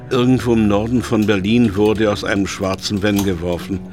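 A man's voice: lowish voice, little pitch movement, brisk, darkish tone, soft background music. A man narrating a radio drama in a scripted storytelling style.

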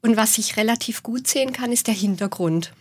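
A woman in her forties with a Swabian accent, a guest in a radio interview, explaining things calmly and in measured steps. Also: fairly high pitch, husky, dry recording, studio quality. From southwest Germany. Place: radio studio.

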